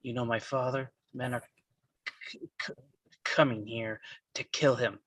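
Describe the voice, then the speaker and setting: A man acts out a young man standing guard over his father in a live script read. His voice is husky and dry, and he stammers heavily. He stays resolute. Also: medium pitch, fairly even intonation, a little slow, a bit bright, dry recording. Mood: urgent. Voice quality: heavy stammer.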